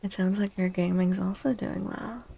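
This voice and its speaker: A woman hosting a soft-spoken ASMR tarot stream, talking gently and breathily, often near a whisper, with drawn-out delivery. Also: mid-range voice, fairly even intonation, creaky, breathy edge. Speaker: livestream host giving tarot readings.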